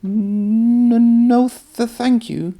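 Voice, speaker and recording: A man's voice: very high voice, steady tone, very slow, mellow, voice pushed up, heavily acted. A man voicing a cheeky but easily scared small tank engine in a children's storybook, perky and peeping. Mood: timid, evasive.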